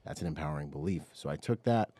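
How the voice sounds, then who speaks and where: A man's voice: very deep voice, lively intonation, fast talker, mellow. A man with an American accent telling personal stories casually as a podcast guest, in laid-back, slangy speech. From Bahamas and Washington State, US. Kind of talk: casual conversational storytelling.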